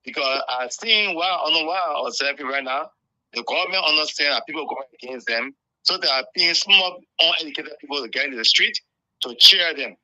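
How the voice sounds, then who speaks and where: A man's voice: on the high side, a little fast, sharp tone, no echo. A man with a Liberian accent calling in to a political talk show, earnest and repeating words as he makes his point. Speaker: caller. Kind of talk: phone-in political comment. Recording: dull, dry call audio.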